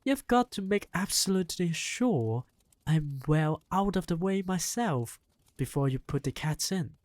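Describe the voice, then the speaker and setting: A reader voicing an excitable young boy in an audiobook, talking eagerly and shouting with excitement. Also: mid-range voice, measured pace, dry recording, lightly acted. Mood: earnest. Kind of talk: audiobook character reading.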